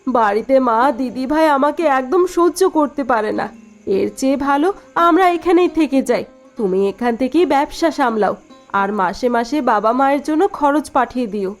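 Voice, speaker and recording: A woman playing a soft-hearted but strong-willed young wife and daughter-in-law in a cartoon, speaking sincerely and a little plaintively. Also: high-pitched, lively intonation, moderate pace, soft background music. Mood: hurt. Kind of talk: Bengali cartoon voice acting.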